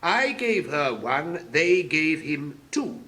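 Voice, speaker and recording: A woman's voice: low voice, big pitch swings, slow pace, a bit bright, full character voice. Woman playing a pompous, swooping, blustering storybook King. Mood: musing. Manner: pompous, flustered, swinging from barking orders to muttering. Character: blustering, muddled, self-important old King presiding as judge. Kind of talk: dramatised storybook reading.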